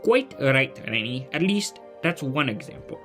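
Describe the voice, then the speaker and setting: A man's voice: mid-range voice, sing-song, a little slow, a bit bright, faint music behind. A man playing a polite, modest genius mentor in a family audio drama, with formal, hesitant phrasing. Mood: gentle, measured.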